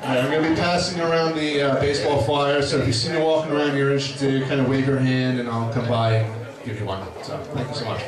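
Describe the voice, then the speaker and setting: A man's voice: medium pitch, fairly even intonation, fast talker, hardly any pauses. Casual man making meeting announcements. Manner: casual, with many fillers. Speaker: event organizer. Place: meeting hall. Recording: echoey hall from far off. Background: crowd noise.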